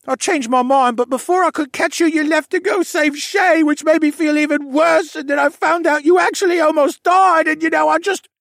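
A man's voice: very high-pitched, fairly even intonation, moderate pace, gravelly, hardly any pauses, fairly bright tone, no echo, clean studio sound, pitched above the performer's own voice, heavily acted. A man voicing an anxious, emotional teenage stable boy who stammers and blurts things out. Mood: distraught.

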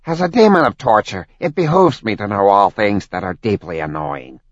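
An eager, sly demon servant talks in a lowish voice that leaps up and down as he chatters, as a male narrator puts him on. The recording is dry and a little dull. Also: expressive, moderate pace, dry recording. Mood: chatty. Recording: dull, dry lo-fi audiobook sound.

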